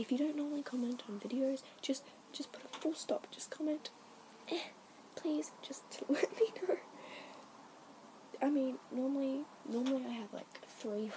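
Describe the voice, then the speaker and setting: A young woman tells a story in a soft whisper, rambling and giggling as she goes. Her voice sits somewhat high. A steady hiss of noise runs under the home recording. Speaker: vlogger. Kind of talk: whispered ASMR story time.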